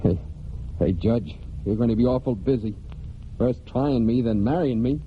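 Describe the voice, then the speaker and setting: An actor playing a proud, hot-tempered young country man in 1940s radio drama, with folksy rural speech and dramatic pauses. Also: medium pitch, a little hiss or hum, natural voice. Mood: bashful, hopeful. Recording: dull 1949 radio recording, music behind.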